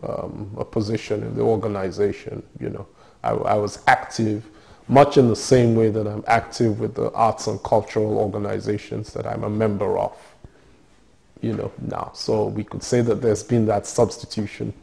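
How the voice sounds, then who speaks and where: Guy, middle-aged, gravelly low mellow voice, lots of ums and restarts. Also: little pitch movement, normal speed. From Nigeria. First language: Yoruba. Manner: thoughtful, hesitant, many fillers and restarts. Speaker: interviewee. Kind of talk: oral history interview.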